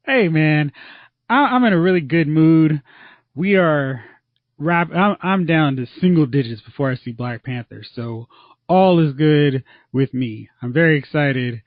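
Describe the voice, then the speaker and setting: A man's voice: a little high, dry recording. A man hosting a casual movie podcast, upbeat and chatty as he leads the talk. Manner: upbeat, chatty, quick asides. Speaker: podcast host. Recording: muffled lo-fi dry recording.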